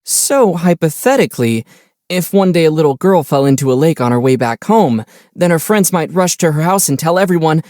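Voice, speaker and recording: A man playing a calm young adult traveler hero in a video game, with a playful, teasing and wry way of talking. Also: on the high side, brisk, no room sound, studio quality, natural voice. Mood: playful storytelling.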